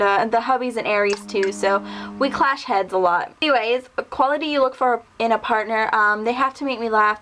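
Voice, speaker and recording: A woman's voice: a little high, fairly bright tone. A woman in her twenties chatting casually to camera, rambling through a list of questions with lots of fillers. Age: 20s. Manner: rambling, chatty, lots of ums and little laughs. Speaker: vlogger. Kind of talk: casual tag video answering questions.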